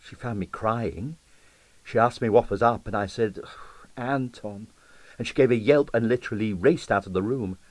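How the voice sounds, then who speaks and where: A man's voice: lowish voice, normal speed, a little mellow, no echo, lightly acted. Man voicing a mischievous, confiding ballerina. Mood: wry, indignant. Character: mischievous, candid young Russian ballerina.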